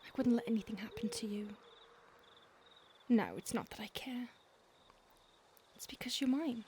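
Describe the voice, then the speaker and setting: A woman's voice: a little high, moderate pace, natural voice. A woman playing a whispering possessive vampire. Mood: menacing whisper. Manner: soft, intimate, often whispered; calm, menacing, possessive. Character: possessive centuries-old female vampire stalker. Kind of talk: audio roleplay. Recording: noisy background hiss.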